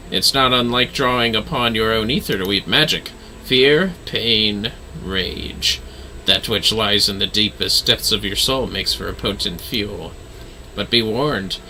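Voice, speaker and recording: A man voicing a calm, ominous dark knight mentor from a video game, in a measured, dryly formal and theatrical way. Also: mid-range voice, a little hiss or hum, heavily acted, low-quality recording. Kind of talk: video game dialogue read aloud.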